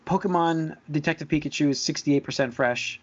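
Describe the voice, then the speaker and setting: A man with a slightly high voice co-hosts a casual podcast. He talks informally as he reads out rankings. The recording is dull and lo-fi. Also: fairly quick. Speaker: podcast co-host.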